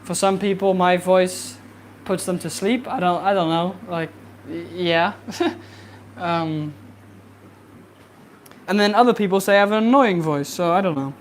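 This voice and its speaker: A young adult fishkeeping streamer chatting casually and rambling with fillers during a livestream from a home fish room, with a soft, relaxing voice. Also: mid-range voice, a little slow, slight background noise. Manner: easygoing, rambling, many fillers. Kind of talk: casual livestream chat while cleaning tanks.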